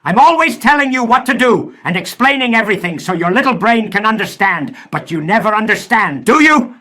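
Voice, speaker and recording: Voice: medium pitch, expressive, fairly quick, pushed and bright. An actor voicing a furious, scornful mad scientist in a cartoon comic dub, ranting with sneering sarcasm. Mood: scornful rage.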